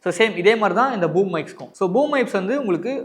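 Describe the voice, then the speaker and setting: A man's voice: sits high, expressive, fast. A man with an Indian Tamil accent presenting an unboxing and product review, explaining directly to viewers and mixing in English words.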